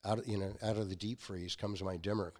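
A man's voice: fairly low pitch, fast. A man in his sixties, a company founder, telling stories as a guest and acting out the quotes in them. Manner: animated storyteller acting out quotes. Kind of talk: casual storytelling.

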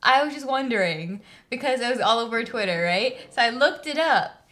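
A woman's voice: on the high side, animated, moderate pace, fairly bright tone. A young woman co-hosting a podcast, chatting casually, teasing and giggling, with lots of "like". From Filipino family background. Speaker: podcast co-host. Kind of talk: casual chat.

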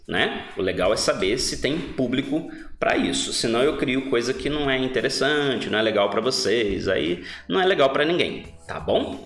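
Chatty man teaching, lively tone. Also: mid-range voice, echoey room. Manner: chatty, keeps checking in with the listeners. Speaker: English teacher and podcast host. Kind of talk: casual podcast lesson.

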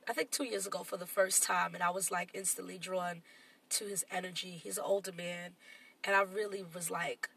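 Casting: congested, nasal woman co-host with a bright, gravelly edge, reflective and talkative, a little off-mic. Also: on the low side, fairly even intonation, brisk, far from the mic, poor audio. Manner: reflective, explains at length. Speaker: podcast co-host. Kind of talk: casual chat.

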